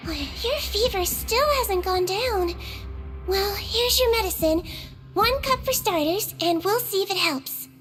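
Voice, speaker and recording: A woman voicing a small, gentle, slyly sweet girl nurse from a magical folk in a video game, patient and teasing. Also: very high-pitched, unhurried. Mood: caring, firm. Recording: music behind the voice.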